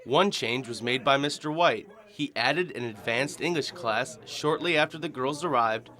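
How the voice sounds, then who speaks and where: A man's voice: mid-range voice, moderate pace, pushed and bright. A man with an American accent reading scripted narration as a television news reporter.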